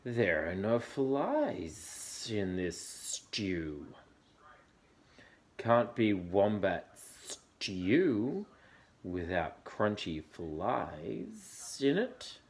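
A man's voice: on the low side, big pitch swings, exaggerated voice. A man voicing a hissing male lizard in a children's storybook, drawing out the words. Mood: disdainful. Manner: drawn-out, hissing. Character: slow, hissing male blue tongue lizard.

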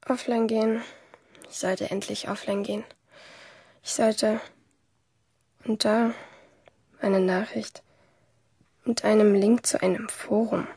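A woman's voice: medium pitch, level tone, slow, pauses often, mellow, husky, no room sound. An actress playing a moody, infatuated teenage schoolgirl, narrating inwardly and intimately in a radio play. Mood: bored, restless. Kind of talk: first-person radio play monologue.

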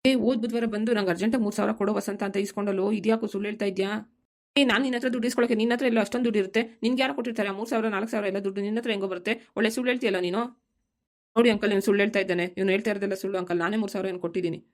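A woman's voice: medium pitch, fairly even intonation, very fast, natural voice. A woman playing an easygoing young village son in a cartoon family story, pleading and full of excuses. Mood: indignant protest.

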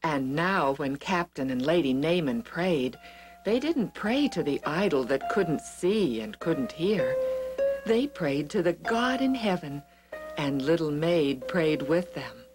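A woman narrating a scripted children's story with simple, expressive phrasing. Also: low-pitched, takes it slowly, over music, rough recording.

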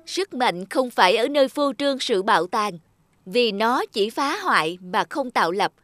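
A woman voicing a young goddess of music in a cartoon, gentle, measured and earnest. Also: fairly high pitch, animated, no echo, clean studio sound. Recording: music under the voice.

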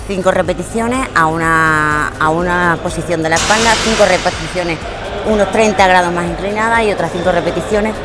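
A woman in her thirties explaining gym exercises on a lapel mic, in a relaxed teaching way with frequent fillers and repeated words. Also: fairly low pitch, fairly quick. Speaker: fitness trainer. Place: large indoor gym. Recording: music playing behind the voice. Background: background music.

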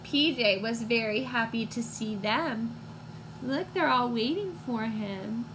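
A woman in her twenties reading a picture book to young children, expressive and teacherly, pausing to put questions to listeners. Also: a little high. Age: 20s. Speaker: read-aloud storyteller for young children. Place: small room at home, indoors. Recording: clear background noise, home recording.

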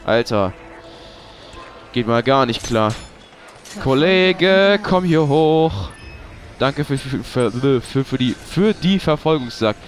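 A man's voice: medium pitch, expressive, slow pace, long pauses, faint music behind. A young man, giving casual gameplay commentary, swearing and ranting when he loses. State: has a cold. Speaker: let's play host.

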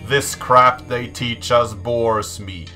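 Slow, bright-voiced man reading deliberately. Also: medium pitch, steady tone, faint music behind. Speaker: host of a programming channel. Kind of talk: slow dramatic reading and presenter talk. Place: small indoor room at a desk.

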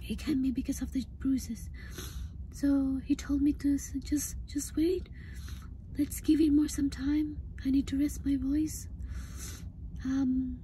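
Hoarse, high-voiced woman speaking slowly. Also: dark tone, rough recording. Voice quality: hoarse, breathy, often breaking into whisper. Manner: halting, hesitant. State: hoarse, recovering voice, upset. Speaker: vlogger. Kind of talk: personal health update vlog, casual talk to camera. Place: inside a parked car. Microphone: phone at arm's length. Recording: faint background noise in car.

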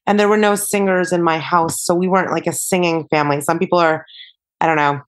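A young woman with a somewhat low voice talks casually as a podcast guest. She rambles in a relaxed way, peppering her sentences with "like" and "you know". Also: fast talker. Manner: chatty, many fillers like "like" and "you know". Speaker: podcast guest, singer-songwriter. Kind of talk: casual interview chat.

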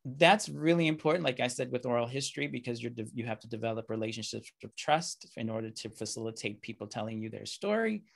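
A middle-aged man, his voice gravelly with a touch of fry, speaking at an ordinary middle pitch. He lectures in a measured, reflective way, pausing on "um" and "you know". He is heard through a webcam on a video call. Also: lively intonation. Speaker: keynote speaker. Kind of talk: lecture over slides. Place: indoor room, video call.

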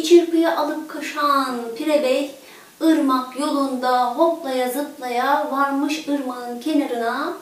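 A woman in her thirties telling a children's fairy tale expressively, with dramatic pauses and rhythmic repetition. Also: sits high. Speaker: children's storyteller. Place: living room, indoors, seated on a sofa. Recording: echoey room, distant microphone.

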